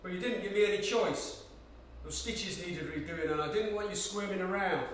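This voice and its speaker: A man's voice: high voice, normal speed, a bit bright. A man acting an older, coaxing Cockney ex-soldier in a stage play, full of jokey slang and fatherly fussing. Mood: fussy, chirpy. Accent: London Cockney. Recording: echoey room, distant mic, faint hiss.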